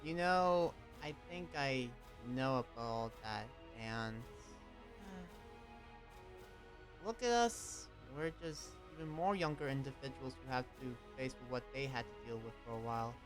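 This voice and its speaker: A man's voice: medium pitch, a little slow. Young man, roleplay character chat, hesitant, lots of fillers, faint music behind. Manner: hesitant, full of fillers and restarts. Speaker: roleplay player and channel host.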